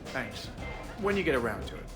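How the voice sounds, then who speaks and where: Game role: actor as friendly easygoing guy, mid pitch, casual, music clearly behind. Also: very animated, a little fast, close to an everyday voice. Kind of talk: video game character acting.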